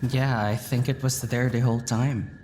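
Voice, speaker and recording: A woman's voice: very deep voice, fairly even intonation, moderate pace, darkish tone, a little hiss or hum. A woman acting in an audio drama as a casual, easily flustered young traveler with an offhand, informal way of talking.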